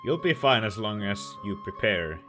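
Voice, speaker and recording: Very low-voiced gaming streamer. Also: female voice, sing-song, moderate pace. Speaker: let's play streamer. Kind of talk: casual game commentary. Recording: game music behind the voice.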